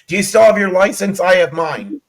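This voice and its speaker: A man's voice: high voice, expressive, fairly quick, vocal fry. A middle-aged or older American man hosting a conversational interview, asking questions and reacting to his guest. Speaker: talk show host, interviewer.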